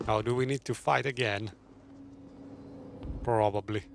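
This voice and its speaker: A man's voice: lowish voice, steady tone, unhurried. Wry male game streamer. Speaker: gaming streamer. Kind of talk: casual gameplay commentary. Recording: game music clearly behind voice.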